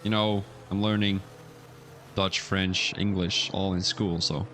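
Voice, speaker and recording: A young man giving casual livestream commentary on a sim racing league, chatting loosely and then getting excited when calling incidents. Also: low voice, slow, a little hiss or hum. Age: young adult.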